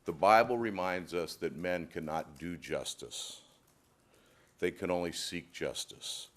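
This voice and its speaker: A man solemnly reading a prepared statement in court with measured phrasing. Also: sits low. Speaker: spokesman reading a family statement. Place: indoor courtroom.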